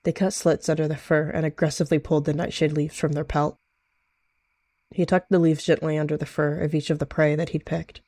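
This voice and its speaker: A man's voice: on the high side, flat delivery, a little fast, a little mellow, dry recording. A man giving dramatic third-person narration as a player in a story roleplay.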